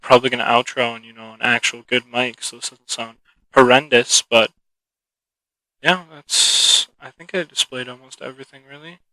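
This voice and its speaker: A man with a bright, sharp voice talks loosely through a gadget review, thinking aloud and trailing off. The sound is dry and close, with no room around it. He speaks into a cheap microphone. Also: medium pitch, moderate pace, no room sound, poor audio. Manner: rambling, relaxed, thinking aloud. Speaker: product reviewer. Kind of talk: casual unscripted product review. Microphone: cheap mixer-connected microphone.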